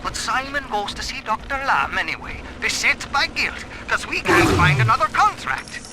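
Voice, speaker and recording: Drunken British ranter, animated. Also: male voice, very high voice, normal speed, heavy background noise. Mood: scornful, ranting. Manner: ranting, sardonic, slangy. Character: drunken, bitter, foul-mouthed brothel-keeper and former architect. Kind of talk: video game audio diary monologue.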